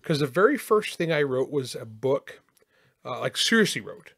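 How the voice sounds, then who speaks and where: A man from California co-hosts a casual podcast, his voice gravelly and his pitch in the middle range. He tells stories with a wry, deadpan streak and piles on the exaggeration for laughs. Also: normal speed. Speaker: podcast co-host.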